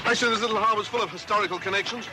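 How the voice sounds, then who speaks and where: Loud outdoor background noise, a man acting louche male film hustler, high voice, glib guide patter. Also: racing, natural voice. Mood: glib, ingratiating. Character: louche, chatty small-time hustler posing as local historian guide. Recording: noisy outdoor film soundtrack with vehicle rumble.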